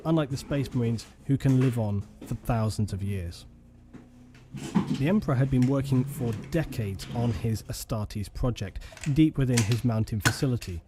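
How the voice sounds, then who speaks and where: A man giving scripted documentary narration on lore in a measured, solemn manner. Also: medium pitch, background noise. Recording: faint music bed behind.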